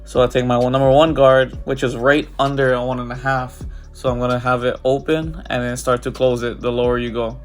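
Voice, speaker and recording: A man's voice: medium pitch, level tone, brisk, soft background music. A barber with a congested, stuffy voice, talking casually over his haircut tutorial. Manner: casual, loose, filler-heavy walkthrough. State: congested from a cold.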